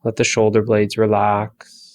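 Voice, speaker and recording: A man leading a guided meditation for focus, giving soft, calm body-scan instructions with long pauses. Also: on the low side.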